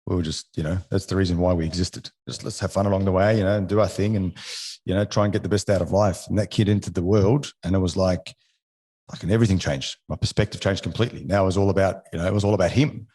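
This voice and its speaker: A man's voice: deep voice, fairly even intonation, quick pace, dark tone, breathy edge. A man in his forties from Egypt whose first language is French, a podcast guest talking casually, often restarting and ending sentences with "right?". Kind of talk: casual interview conversation.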